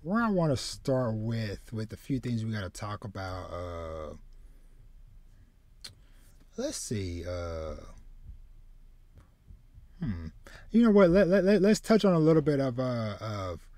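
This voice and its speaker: A man in his thirties hosting a casual podcast chat, halting and repeating words as he starts his sentences. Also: on the low side, sing-song, warm tone, no echo. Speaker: podcast host. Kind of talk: casual chat about anime and manga. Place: small home room with shelves, video call.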